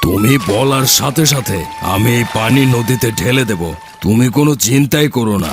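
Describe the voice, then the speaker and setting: Man voicing a deferential sorcerer's apprentice, medium-pitched. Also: lively intonation, normal speed, music in the background, lightly acted. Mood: eager. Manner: deferential, inquisitive. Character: eager, obedient male apprentice of evil sorcerer. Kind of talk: Bengali fairy-tale cartoon dubbing.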